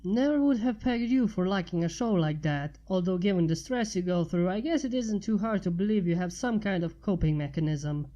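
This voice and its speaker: Narrator doing a sassy skeptical woman friend, blunt and incredulous, mellow voice, audio story. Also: fairly low pitch, lively intonation, fairly quick, few pauses, lo-fi sound, natural voice. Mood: sarcastic. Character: sarcastic, skeptical woman friend, easily alarmed.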